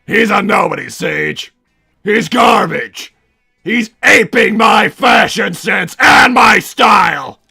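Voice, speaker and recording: A man playing a pompous, irritable cartoon villain scientist and doting father, raspy and theatrical, bantering in character on a livestream. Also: high voice, expressive, slow, sharp tone, raised voice, soft background music, exaggerated voice. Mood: indignant, ranting. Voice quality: raspy, gravelly. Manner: theatrical, blustering, stammers when flustered. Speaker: livestream host reading donations.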